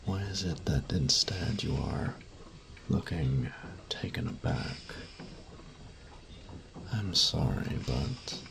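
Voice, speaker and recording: A man playing a grumpy, fastidious steampunk artificer-mage in an audio roleplay, speaking formally and precisely with pedantic, halting phrasing. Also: a bass voice, gravelly, heavily acted. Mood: dry, puzzled. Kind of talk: scripted roleplay audio drama, addressing the listener.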